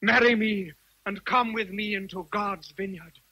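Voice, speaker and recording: A man's voice: high voice, fairly even intonation, unhurried, sharp tone, slightly rough, no echo, heavily acted. An actor with an English accent playing a stern, zealous Victorian clergyman suitor in a radio drama, solemn and commanding. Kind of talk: BBC radio drama acting.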